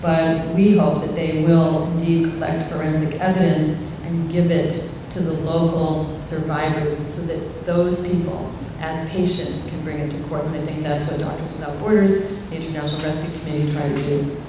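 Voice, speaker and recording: Low woman's voice in a noisy hall. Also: little pitch movement, normal speed. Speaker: expert panelist from a humanitarian or rights organization. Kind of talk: panel discussion, considered explanation. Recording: very echoey hall, distant mic, noisy rumble.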